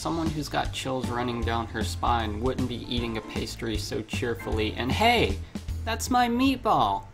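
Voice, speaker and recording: A man's voice: medium pitch, expressive, measured pace, creaky, over music. A man voicing a dry, exasperated schoolgirl from a visual novel, in a chiding manner. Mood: annoyed. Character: dry, exasperated schoolgirl, the friend telling the story.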